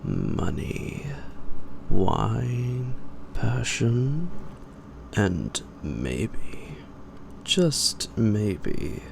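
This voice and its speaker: A man playing a suave, psychotic gentleman vampire in an audio roleplay, pompous, taunting and predatory, with drawn-out and sometimes whispered delivery. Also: big pitch swings, full character voice. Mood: taunting, possessive. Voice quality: very deep, gravelly. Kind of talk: theatrical roleplay monologue to the listener. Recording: noisy amateur home recording.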